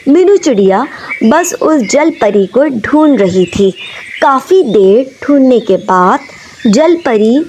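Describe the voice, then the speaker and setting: A woman's voice: on the high side, expressive, slow, darkish tone, slight background noise. A woman narrating a scripted children's story.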